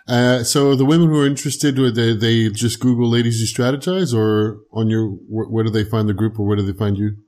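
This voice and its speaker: A man's voice: fairly low pitch, steady tone, brisk, few pauses, a little mellow. A man hosting a podcast interview, enthusiastic and rambling, with frequent affirmations and restarted sentences. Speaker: podcast host. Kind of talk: casual interview chat.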